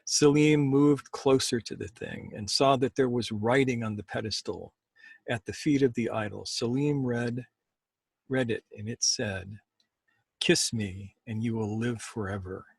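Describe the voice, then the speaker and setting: A man with a husky voice who speaks as a storyteller and host, in a deliberate, measured way. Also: mid-range voice, a little mellow, no room sound. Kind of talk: measured storytelling, then casual chat.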